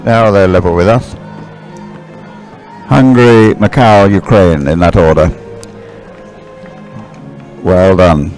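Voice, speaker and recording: A man doing live sports commentary on a race, describing things in a halting way and correcting himself as he goes. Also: deep voice, a little mellow, faint music behind, poor audio.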